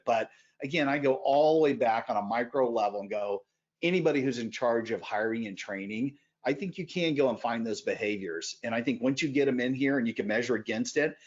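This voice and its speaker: A man talks as a podcast guest in an energetic, emphatic way. He pushes some phrases out loudly and drops others into quieter asides. The audio sounds a little dull and lo-fi, and his voice sits at a middle pitch. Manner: energetic, emphatic, swings between loud bursts and quiet asides. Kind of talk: animated conversational interview.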